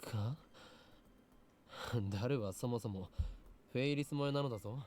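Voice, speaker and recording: A man's voice: mid-range voice, quick pace, darkish tone, a little hiss or hum, close to an everyday voice. A man voicing a self-important, wry young mad scientist in a Japanese visual novel, with brusque, pompous phrasing. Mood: wry, incredulous.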